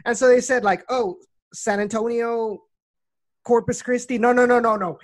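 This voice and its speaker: A woman's voice: a little high, steady tone, normal speed. A woman from the Rio Grande Valley in South Texas, a soccer reporter explaining things conversationally as an interview guest. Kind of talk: casual interview chat.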